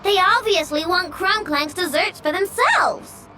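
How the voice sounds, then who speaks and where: A woman's voice: extremely high pitch, lively intonation, a little slow, pushed and bright, a little hiss or hum, heavily acted. A woman voicing an earnest, stubborn young girl inventor in a video game, childlike and emphatic. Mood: excited. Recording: background music under the voice.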